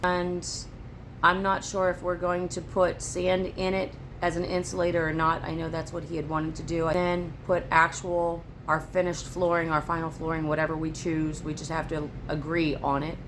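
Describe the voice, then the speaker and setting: A woman chatting casually to camera about her homestead, her voice raspy from allergies, in a rambling, down-to-earth way. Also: low-pitched, completely flat delivery, normal speed, fairly bright tone, a little hiss or hum. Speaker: homesteading vlogger. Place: at home on a rural homestead. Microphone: phone camera, later on a tripod.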